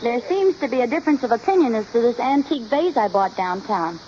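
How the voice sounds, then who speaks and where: A woman playing a determined, gently teasing housewife in an old radio sitcom, coaxing and dry. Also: fairly high pitch, animated, fast talker, lightly acted. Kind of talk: 1950s radio sitcom acting. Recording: muffled, noisy old radio recording.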